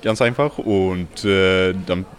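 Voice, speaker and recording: A young man from Sweden answers interview questions hesitantly, stopping often on fillers. His voice sits somewhat low. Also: slow. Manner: hesitant speech in a second language, many fillers. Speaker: Erasmus student.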